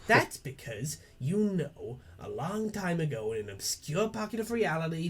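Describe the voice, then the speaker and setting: A man's voice: fairly high pitch, a bit bright, slightly rough. A man playing a defiant young woman in a theatrical, earnest, foul-mouthed monologue. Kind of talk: dramatic reading of a webcomic script.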